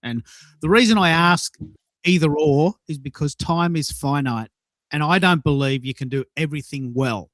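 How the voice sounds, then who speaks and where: A man's voice: fairly high pitch, normal speed, a bit bright, no echo. A man in his sixties hosting a conversational round-robin talk show, putting questions to guests. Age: 60s. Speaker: show host.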